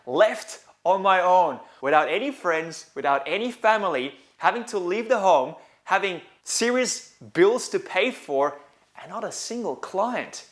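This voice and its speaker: A middle-aged business coach from Belgium talks straight to the camera from his couch. His voice sits a little high for a man, and he tells his story in an earnest, measured, reflective way. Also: lively intonation. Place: sitting on a couch indoors.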